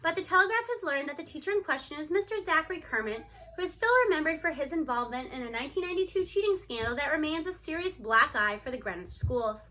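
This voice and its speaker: A woman reads a children's novel aloud. Her voice sits high and has a bright, forward edge. The delivery is expressive storybook narration. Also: quick pace, a little hiss or hum, rough recording.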